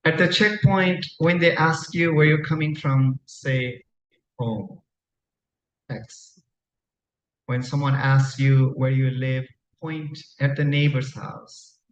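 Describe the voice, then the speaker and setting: Man, poet from Nepal, Tamang mother tongue, slow deliberate delivery, live poetry reading, reverberant dull recording. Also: mid-range voice, fairly even intonation. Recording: dull, echoey room recording, faint noise.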